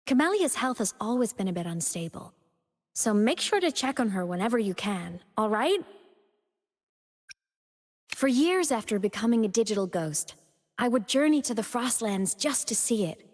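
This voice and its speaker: A young woman playing a gentle, playful female digital ghost in a video game, with a tender, earnest and affectionate manner. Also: fairly high pitch, big pitch swings, normal speed, long reverb tail. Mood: fond, concerned, then wistful, questioning. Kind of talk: video game character voice lines.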